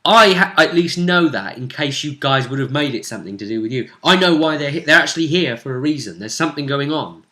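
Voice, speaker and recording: A man's voice: on the high side, expressive, fast talker, hardly any pauses, pushed and bright. A British man speaking as game master, casually recapping a tabletop role-playing session and dropping teasing hints.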